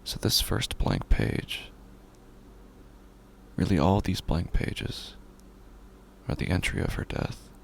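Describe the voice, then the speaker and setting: A man acting a haunted widower sliding into madness in a horror audio drama. His very deep voice is thick with creak and often thins to a breathy near-whisper. He broods haltingly, with pitch that swings widely between pauses. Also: very animated, a little hiss or hum, full character voice. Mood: hushed grief. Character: grieving, haunted widower slowly unraveling into madness. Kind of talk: first-person horror audio drama monologue.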